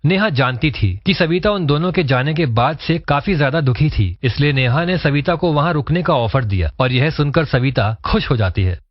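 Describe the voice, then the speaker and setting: A man's voice: a little high, lively intonation, normal speed, few pauses, no room sound, lo-fi sound. A man narrating a scripted cartoon story as its storyteller.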